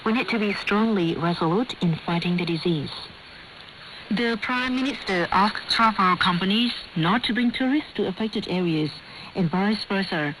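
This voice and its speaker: A woman presents scripted radio news in Vietnamese-accented English. Her delivery is formal and measured at a middling pitch, and it comes through shortwave radio with plainly audible static noise. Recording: noisy shortwave radio broadcast. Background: clear static noise.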